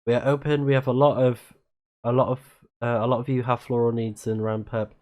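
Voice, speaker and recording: A man's voice: mid-range voice, flat delivery, brisk, a little mellow. A man giving casual let's play commentary, reading on-screen text aloud and murmuring reactions as he plays. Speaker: streamer playing a video game.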